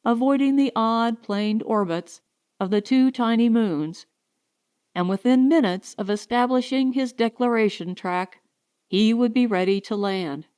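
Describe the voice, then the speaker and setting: A woman reading a science fiction short story as an audiobook narrator, in a measured, carefully enunciated storytelling style. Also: on the high side, darkish tone, clear, resonant tone.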